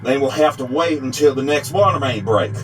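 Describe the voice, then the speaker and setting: A man's voice: mid-range voice, a little fast, background noise, close to an everyday voice. A man playing an inquisitive, cautious tunnel explorer in an audio drama. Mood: cautious, musing.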